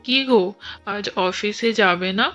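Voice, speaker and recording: A woman's voice: medium pitch, slow, a bit bright, muffled recording, faint music behind. A woman voicing a demanding cartoon wife who keeps insisting on being bought things. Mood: worried. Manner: insistent, wheedling. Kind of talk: cartoon voice acting.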